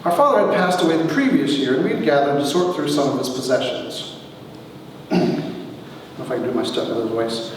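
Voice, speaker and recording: A middle-aged man giving a wry, theatrical reading of his own comic memoir as its author, with deliberate pauses, recorded in a large echoey hall from a distance. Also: medium pitch, lively intonation. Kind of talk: dramatic comic memoir reading to a live audience. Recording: echoey hall, distant mic, faint noise.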